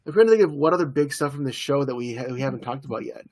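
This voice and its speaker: A hoarse young man co-hosting a podcast, chatting in a relaxed, easygoing way and laughing often. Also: medium pitch, racing. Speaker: podcast co-host. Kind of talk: casual chat.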